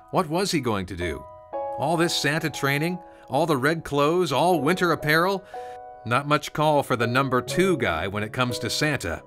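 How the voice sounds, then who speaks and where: A man narrating a scripted children's story in an expressive, conversational way. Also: mid-range voice. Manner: conversational, expressive, rhetorical questions.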